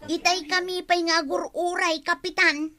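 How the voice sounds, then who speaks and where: A woman's voice: very high-pitched, flat delivery, normal speed, pushed and bright. A woman with an Ilocano accent playing an eager, chatty village gossip in a radio comedy drama.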